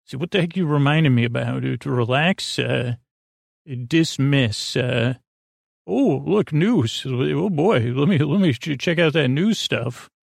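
A man hosting a sleep podcast with a meandering, goofy, halting monologue that drifts into tangents. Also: medium pitch, lively intonation, creaky, no echo, clean studio sound. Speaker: podcast host. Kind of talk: rambling bedtime monologue introducing a sleep podcast.

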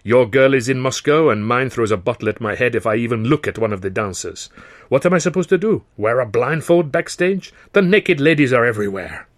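Male reader acting a theatrical Frenchman, worldly ladies' man, melodramatic, flowery phrasing, lively pitch, audiobook character. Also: a little fast, dry recording, studio quality, lightly acted. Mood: theatrical exasperation.

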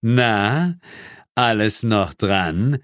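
A man's voice: lowish voice, lively intonation, extremely slow, a bit bright, no echo, clean studio sound, full character voice. A man voicing the devil as a sneering, mock-friendly villain in an audiobook. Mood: mock-cheerful. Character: the devil, mocking male arch-enemy with a bleating laugh.

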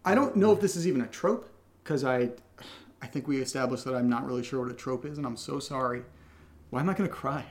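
A man's voice: mid-range voice, fast talker. A man co-hosting a podcast, reading out questions and chatting casually in a teasing, self-deprecating way. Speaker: co-host of an audio drama podcast reading listener questions. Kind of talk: casual unscripted Q&A chat.

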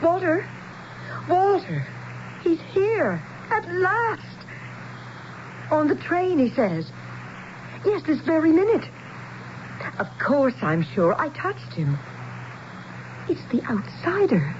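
An actress playing an excitable, awestruck young waitress in a radio drama, with a gushing, breathless and pleading delivery. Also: female voice, extremely high pitch, slow, long pauses, heavily acted. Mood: excited. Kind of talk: 1970s radio drama acting. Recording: dull radio broadcast with faint noise.

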